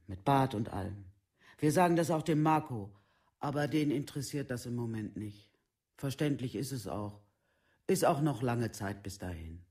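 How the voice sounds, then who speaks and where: A man's voice: mid-range voice, a little mellow, natural voice. A male actor playing a worn-down, devoted working-class mother, plain-spoken and resigned, in a documentary radio play.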